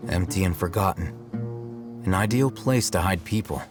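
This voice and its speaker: A man playing a calm, aloof, bookish young scholar in a video game, composed, dry and deadpan. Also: low-pitched, moderate pace, over loud music, close to an everyday voice. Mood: pensive. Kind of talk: understated video game dialogue.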